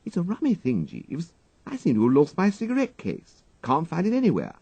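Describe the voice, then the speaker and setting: A man voicing an excitable, flustered young upper-class English gentleman with an exclamatory manner and dated slang, in an audiobook. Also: fairly high pitch, brisk, husky, dry recording, pitched above the performer's own voice, exaggerated voice. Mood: breezy, eager.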